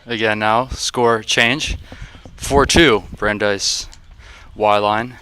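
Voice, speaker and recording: A young man with a deep, slightly groggy voice, commentating a frisbee game on a livestream and getting excited on big plays. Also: drawn-out words, smooth. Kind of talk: play-by-play sports commentary. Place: outdoors at a frisbee field. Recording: noisy outdoor field recording.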